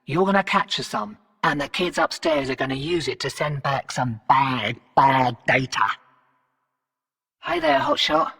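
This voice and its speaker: Casting: brash British mercenary operator for a game; forceful, gritty, sardonic, commanding, heard through a big distant reverb. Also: female voice, extremely low pitch, very animated, unhurried, creaky, heavily acted. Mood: businesslike, urging. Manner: slangy, cheeky, commanding. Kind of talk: video game mission briefing lines.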